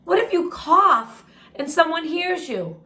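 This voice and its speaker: A woman's voice: extremely high pitch, lively intonation, slow, pushed and bright, voice pushed up, exaggerated voice. A woman voicing an earnest, determined man in a storybook, speaking emphatically. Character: determined enslaved man planning his escape, earnest.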